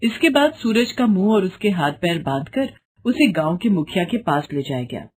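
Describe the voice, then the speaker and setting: Casting: woman narrator for moral tales, expressive melody, slightly low and husky. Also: normal speed. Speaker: storyteller narrator. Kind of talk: scripted fairy-tale narration.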